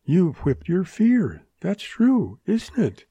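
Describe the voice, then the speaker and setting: A man's voice: sits high, huge pitch swings, slow pace, dark tone, slightly rough, dry recording, pitched above the performer's own voice, full character voice. A man voicing a spirited, teasing and earnest young woman in an audiobook western. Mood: earnest, urging.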